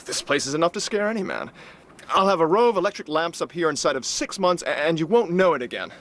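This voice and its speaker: An actor playing a brisk, plain-spoken young heir in a radio drama, with a bluff, direct, informal manner. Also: male voice, fairly high pitch, animated, a bit bright. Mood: uneasy, determined. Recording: outdoor ambience noise, vehicle sounds.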